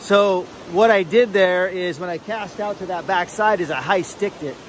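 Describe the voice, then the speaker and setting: A man's voice: high voice, normal speed, slight background noise. A man filming himself fly fishing outdoors by a river, talking casually with many fillers and restarts.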